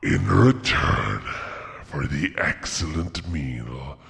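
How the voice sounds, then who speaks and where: An immense, ancient storybook dragon, menacing and sly. The voice is gravelly and forceful, booming through long cavernous reverb. It taunts its prey in drawn-out phrases. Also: male voice, lively intonation, a little hiss or hum, full character voice. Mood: taunting menace. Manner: slow, drawn-out, taunting delivery. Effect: deep cavernous reverb effect.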